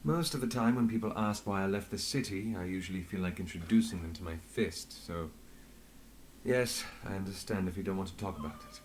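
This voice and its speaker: An actor playing an authoritative, guarded inspector in a video game, speaking in a formal, measured and commanding manner. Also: male voice, low voice, a little hiss or hum, natural voice. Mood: subdued. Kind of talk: scripted video game acting.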